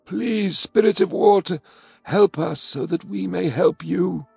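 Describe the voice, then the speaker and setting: A blind old orc shaman with a raspy, husky, high voice, as a male narrator puts him on. He speaks slowly and urgently in a fantasy audiobook. The recording is dry and close. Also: expressive, dry recording, full character voice. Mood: pleading.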